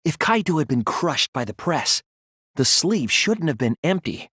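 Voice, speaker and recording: A man voicing an earnest young male student detective in a video game, explaining his deductions in a deliberate, thoughtful way. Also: fairly high pitch, very animated, sharp tone, slightly rough, dry recording, studio quality, heavily acted. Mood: measured reasoning. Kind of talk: scripted video game voice acting, deduction speeches.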